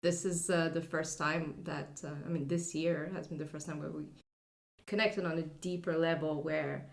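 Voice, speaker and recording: A woman in her thirties from France with a French accent, telling her personal story as a podcast guest in a soft, reflective way with many pauses and filler sounds. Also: sits low, steady tone, vocal fry, reverberant.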